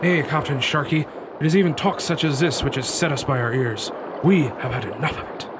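An actor playing a sombre, plain-spoken sailor turned pirate in a period radio drama, speaking in formal, archaic phrases. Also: male voice, fairly high pitch, a little fast, background noise, natural voice. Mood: firm, aggrieved.

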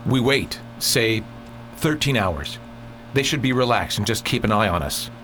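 A man playing a steady, cautious engineer sidekick with clipped, level-headed speech in a space opera radio drama. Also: lowish voice, measured pace, soft background music.

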